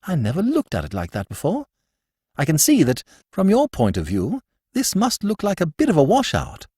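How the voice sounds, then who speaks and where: A man voices a breezy young Englishman of the who chatters in an airy, sing-song drawl. The voice sits high and swoops wildly. The sound is dry and close. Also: wildly swooping pitch, normal speed, a little mellow, breathy edge, no room sound, exaggerated voice. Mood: dismayed.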